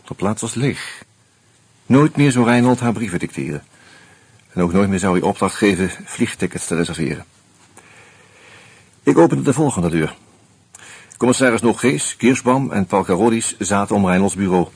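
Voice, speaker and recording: A man's voice: on the low side, animated, moderate pace, long pauses, a little mellow, slightly rough, no echo, heavily acted. An actor playing a wry, weary man who narrates his own murder mystery in a radio play, subdued and a little hesitant. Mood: subdued, reflective. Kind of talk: first-person radio drama narration and dialogue.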